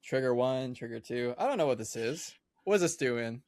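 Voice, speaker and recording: A man in his twenties hosting a podcast, chatting in a relaxed, chummy way with short reactions. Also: medium pitch, moderate pace, over a noisy crowd. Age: 20s. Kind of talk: casual chat.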